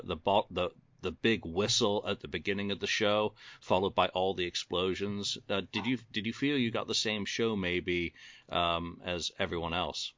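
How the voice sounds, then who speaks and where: A man's voice: sits low, a bit bright, no room sound, lo-fi sound. A man hosting a casual panel discussion, asking and explaining things in wordy detail. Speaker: host questioning a guest.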